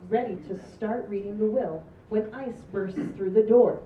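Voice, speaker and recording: A woman's voice: mid-range voice, moderate pace. A woman reading script stage directions aloud at a table read, in a plain, amused way. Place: room at a group table read. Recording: roomy, distant, dull sound with noise.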